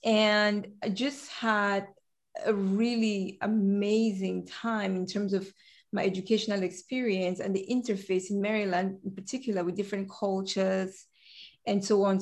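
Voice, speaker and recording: Lively woman from Cameroon telling her story. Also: medium pitch, normal speed. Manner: animated storyteller who laughs easily. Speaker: guest, compliance director. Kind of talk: conversational interview.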